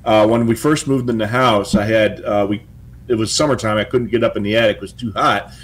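A man's voice: fairly low pitch, fairly even intonation, fairly quick, rough recording. A middle-aged man with an American accent, co-hosting from a home office in a casual, chatty style with practical tips. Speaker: co-host of a police scanner show. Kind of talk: casual chat with tips and anecdotes.

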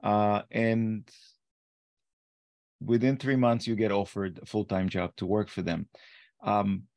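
A man's voice: fairly low pitch, a little mellow, dry recording. A man hosting a podcast, rambling and rephrasing his questions to a guest over a video call. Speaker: podcast host. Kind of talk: interview questions.

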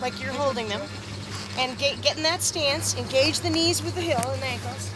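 High-voiced woman coaching outdoors. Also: normal speed, background noise. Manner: energetic, direct instructing. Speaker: ski instructor. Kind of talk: coaching on the slope. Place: outdoors on a snowy ski slope.

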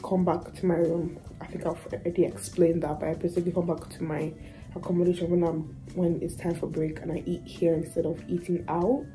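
Young uni girl vlogging in her room, kinda raspy, warm mellow voice, rambly storytelling. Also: fairly low pitch, flat delivery, brisk, faint music behind, low-quality recording. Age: young adult. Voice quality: raspy from lost voice. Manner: chatty, rambling with fillers and restarts. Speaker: student vlogger. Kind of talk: casual vlog storytime. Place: small student bedroom indoors.

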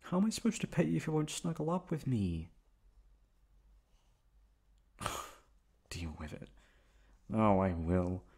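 A man's voice: fairly low pitch, big pitch swings, normal speed, gravelly, dark tone, breathy edge. A man acting as a teasing, affectionate boyfriend in an intimate ASMR roleplay, coaxing and pleading playfully. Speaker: voice actor performing an audio roleplay.

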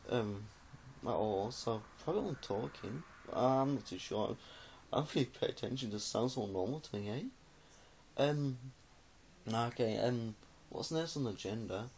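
A young man talks in a mid-pitched voice, in a loose, meandering vlog style. He halts often and tags phrases with "eh". The recording is a homemade indoor one with faint noise behind him. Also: vocal fry, darkish tone. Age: young adult. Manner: halting, meandering, sweary, ends phrases with "eh". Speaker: vlogger. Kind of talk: casual rambling chat to camera. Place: small indoor room, reclining against a wall. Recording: noisy webcam-style home recording.

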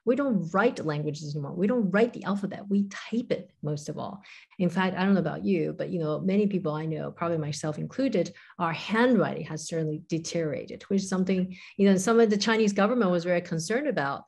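A woman's voice: lowish voice, fairly quick, vocal fry, a little mellow, breathy edge. Thoughtful academic woman on a video call. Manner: thoughtful, explanatory, hedges with "kind of" and "you know". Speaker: professor and author, guest. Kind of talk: interview conversation. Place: home room with windows.

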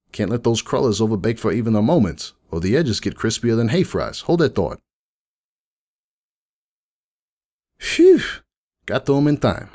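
A man acts out a world-weary middle-aged donut seller pony in a dramatic fan-fiction reading. His low, gravelly voice swings expressively as he confides his story in a folksy, rambling way. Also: darkish tone, full character voice. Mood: bustling, chatty. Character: jaded, rueful middle-aged male pony donut shop owner. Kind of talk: dramatic first-person monologue reading.

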